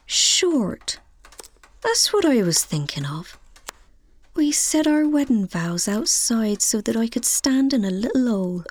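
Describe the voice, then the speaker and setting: A woman acting as a scatty, gossipy, naive widow in an audio comedy drama, breathy and rambling with a deadpan delivery. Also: on the high side, measured pace. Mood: wistful, rambling.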